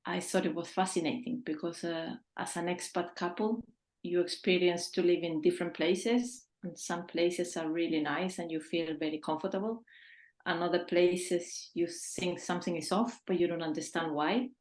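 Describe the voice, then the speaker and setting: A woman's voice: on the low side, fairly even intonation, normal speed, darkish tone, roomy sound. A woman in her fifties from Chile, speaking earnestly as a guest on a video-call interview, with small restarts. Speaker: feng shui consultant, guest. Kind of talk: casual interview answers.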